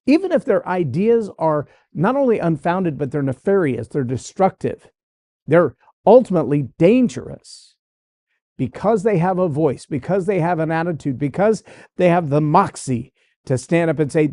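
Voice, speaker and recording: A man's voice: high-pitched, dark tone, no room sound, studio quality. A man hosting a leadership podcast, talking in an emphatic, list-building way. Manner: emphatic, repeats phrases in building lists. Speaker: podcast host.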